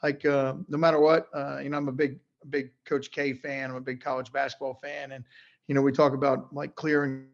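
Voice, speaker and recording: A middle-aged coach with a loud voice and a gravelly edge answers at full energy, stumbling over uhs and repeated words. His pitch stays fairly flat. The audio is a bit muffled. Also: brisk. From West Virginia. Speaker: basketball coach. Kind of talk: casual interview answers.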